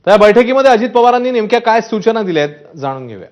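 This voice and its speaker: Male news anchor, high-pitched, brisk, emphatic scripted delivery, faint music behind. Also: big pitch swings, a little mellow. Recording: dull broadcast audio with music underneath.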